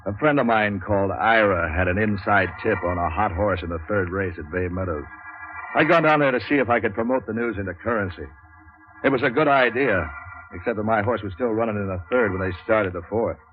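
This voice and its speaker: A wisecracking waterfront hustler narrates a 1940s noir radio show , acted by a man. His voice is fairly low, deadpan and sardonic. It comes through a thin, tinny old recording with music behind. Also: brisk, natural voice. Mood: cynical. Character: cynical, wisecracking male waterfront boat renter for hire.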